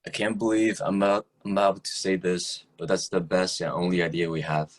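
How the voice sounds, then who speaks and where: A man playing a bossy, skeptical high school boy in an amateur table read. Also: flat delivery, brisk, natural voice.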